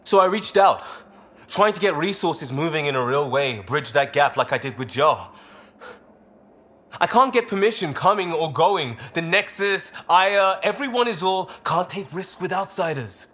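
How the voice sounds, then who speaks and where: A man's voice: on the high side, animated, normal speed, a bit bright. Restless young British crewman. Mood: frustrated. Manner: clipped, informal phrases. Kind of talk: video game voice acting. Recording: game dialogue over faint music.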